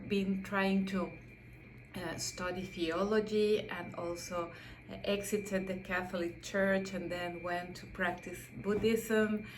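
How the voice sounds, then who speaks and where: Slow, thoughtful Mexican woman. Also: mid-range voice, a little hiss or hum, poor audio. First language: Spanish. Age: middle-aged. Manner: thoughtful, hesitant, frequent fillers and restarts. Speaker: psychotherapist. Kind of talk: self-introduction monologue.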